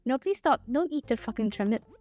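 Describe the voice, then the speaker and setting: A woman's voice: a little high, expressive, a little fast, a little mellow, faint music behind. Chatty young woman, mixed Singaporean accent. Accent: mixed, partly Singaporean English. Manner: chatty and rambling, with frequent laughs and playful pleading. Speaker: streamer. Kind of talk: casual livestream chat while gaming.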